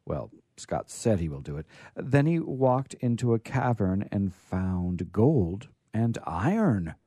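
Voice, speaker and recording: A man hosting a radio show, reading stories aloud in an informal, halting but theatrical way. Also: lowish voice, very animated, warm tone, no room sound. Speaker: radio show host and storyteller.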